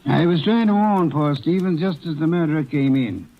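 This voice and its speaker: Imperious older detective, played by a male actor: gravelly, fairly high voice, precise sardonic diction, vintage radio drama. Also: normal speed, a little hiss or hum, lightly acted. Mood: measured, probing. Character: pompous, shrewd, heavyset older male private detective. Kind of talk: old-time radio drama acting. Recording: dull, muffled old radio recording.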